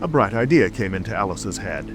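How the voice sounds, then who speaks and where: A deep male voice narrates a storybook tale. Music plays clearly underneath the scripted reading. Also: animated, fairly quick. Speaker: audiobook narrator.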